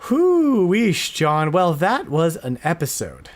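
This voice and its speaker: A man's voice: fairly high pitch, wildly swooping pitch, slow. A man hosting a podcast in an informal, enthusiastic, slangy way, chatting casually about retro games. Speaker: podcast host.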